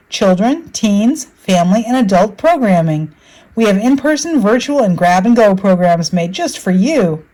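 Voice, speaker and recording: A woman's voice: mid-range voice, darkish tone, rough recording. A woman announcer reading scripted promotional narration about community programs. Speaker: library announcer.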